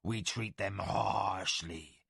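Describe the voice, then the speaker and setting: A man voicing a tall, proud male tiger-man warrior chief, slow and snarling. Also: low voice, vocal fry, fairly bright tone, breathy edge, no room sound, studio quality, pitched below the performer's own voice, exaggerated voice. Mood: fierce, wary.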